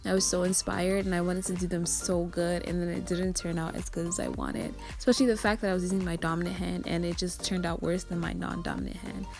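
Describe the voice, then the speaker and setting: A young woman with a raspy, just-woken voice, rambling and self-critical as she narrates a vlog into her phone. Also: lowish voice, little pitch movement, fast, few pauses, a little mellow, soft background music. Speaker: nail art vlogger. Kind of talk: casual voice-over narration.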